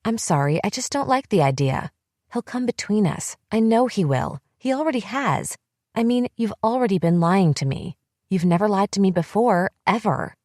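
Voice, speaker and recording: A woman's voice: medium pitch, animated, moderate pace, a little mellow, no room sound, clean studio sound, voice pushed up, lightly acted. A woman voicing an anxious young woman who pleads tearfully in an audiobook. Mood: pleading.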